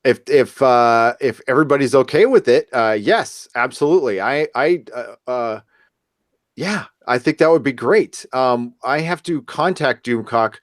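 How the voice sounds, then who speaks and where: A man hosting a podcast in casual chat, with a halting, stammering, jokey delivery. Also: mid-range voice, no echo, clean studio sound. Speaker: podcast host.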